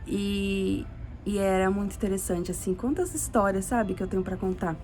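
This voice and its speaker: A woman's voice: mid-range voice, fairly even intonation, moderate pace, darkish tone, slight background noise. A young adult Brazilian woman telling a personal story in a casual, rambling way, restarting often and adding tag questions. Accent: Brazilian Portuguese. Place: living in Sweden.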